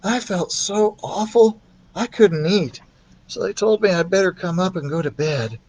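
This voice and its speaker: A man's voice: sits high, lively intonation, a little slow, darkish tone, a little hiss or hum, voice pushed up, rough recording. A man voicing a kind young boy who feels ill, speaking in a listless, subdued way for a storybook. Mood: weary, apologetic.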